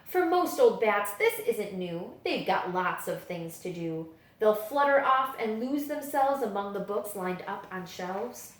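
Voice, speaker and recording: A woman reading children's picture books aloud as a story-time librarian, with dramatic, expressive storytelling. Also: mid-range voice, unhurried. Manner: dramatic, animated storytelling delivery. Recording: echoey room.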